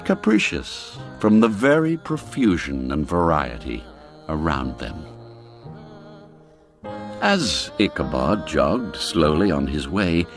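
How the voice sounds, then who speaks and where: A man narrating a literary story as an audiobook, in an expressive, measured, theatrical storytelling manner with deliberate phrasing. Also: very deep voice, faint music behind.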